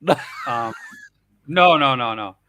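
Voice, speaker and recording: A man's voice: mid-range voice, animated, slow, pushed and bright, slight background noise. A man chatting casually on a live stream panel, rambling loosely and cursing offhandedly. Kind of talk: casual chat.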